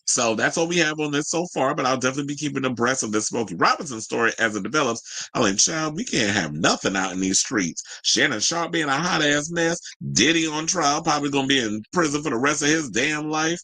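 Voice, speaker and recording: A man's voice: a little high, lively intonation, a little fast, pushed and bright, dry recording. A man hosting casual gossip commentary, chatty and candid, with a sassy, colloquial way of talking to his audience. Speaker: host of a commentary show.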